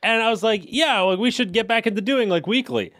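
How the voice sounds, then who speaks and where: A man co-hosting a casual podcast, an animated storyteller who acts out quotes and laughs a lot. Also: high-pitched, a little fast, dry recording, clean studio sound. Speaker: podcast co-host. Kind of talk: casual chat.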